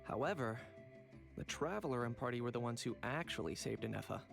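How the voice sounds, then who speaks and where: A man voicing a composed, businesslike hired professional in a video game, measured and formal. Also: medium pitch, lively intonation, gravelly, music in the background.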